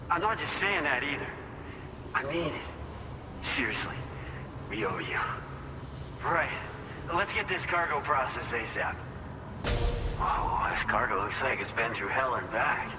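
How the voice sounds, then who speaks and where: A man's voice: mid-range voice, expressive, measured pace, pauses often, close to an everyday voice. Man playing earnest outpost worker in heavy noise. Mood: grateful. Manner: effusive, earnest. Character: sincere, grateful male outpost worker. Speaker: game character actor. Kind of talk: cinematic video game dialogue. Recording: very loud vehicle-like ambient noise.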